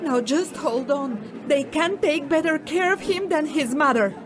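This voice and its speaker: A woman's voice: high voice, lively intonation, unhurried, crowd noise, background noise. A woman playing a tender, worried mother fussing over her son in a fantasy audio drama. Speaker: voice actor.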